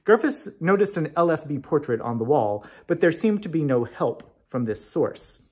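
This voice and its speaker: Male story reader, husky tone, very lively pitch, expressive scripted narration. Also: normal speed.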